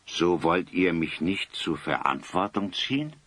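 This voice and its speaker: Bitter vengeful grandfather, radio-play villain, formal and self-justifying, forceful bright edge, sweeping intonation. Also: low-pitched, big pitch swings, a little slow, no room sound, full character voice. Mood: remorseful, heavy. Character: bitter, vengeful grandfather, proud yet guilt-laden villain. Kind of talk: German audio drama acting.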